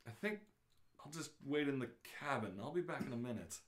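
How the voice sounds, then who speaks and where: A man's voice: mid-range voice, expressive, brisk, lightly acted. A man voicing a matter-of-fact, exasperated woman space traveller in an amateur reading of a comic radio play. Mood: unimpressed.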